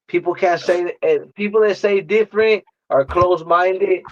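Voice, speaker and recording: A man's voice: high voice, fairly even intonation, moderate pace. A man giving casual reaction commentary in slangy talk, repeating phrases for emphasis, on a slightly dull lo-fi recording.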